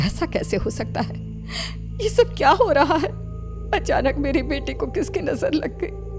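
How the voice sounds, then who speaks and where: Woman voicing an anxious, high-pitched doting mother. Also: big pitch swings, measured pace, over music, full character voice. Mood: worried. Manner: pleading, emotional, fussing over her child. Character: Reena, anxious doting middle-aged Indian mother.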